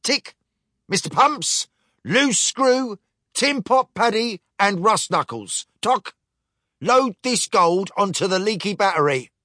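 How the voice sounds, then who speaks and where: A man's voice: sits high, animated, takes it slowly, bright, forceful tone, dry recording, studio quality, voice pushed up, full character voice. A man voicing a devious clockwork robot pirate first mate who clicks and ticks as he talks, in a storybook reading. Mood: gruff orders. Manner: clipped, punctuated by click and tick sounds.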